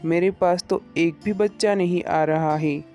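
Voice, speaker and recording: A woman's voice: lowish voice, fairly even intonation, measured pace, mellow, faint music behind, pitched below the performer's own voice, natural voice. A woman voicing a scheming, wheedling village ice cream man in a cartoon moral story, with an insinuating, coaxing manner.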